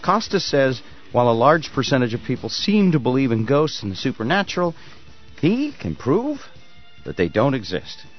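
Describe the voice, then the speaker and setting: A man's voice: medium pitch, wildly swooping pitch, moderate pace, muffled recording, soft background music. A man hosting a morning radio talk show, asking playful, teasing questions. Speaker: radio host.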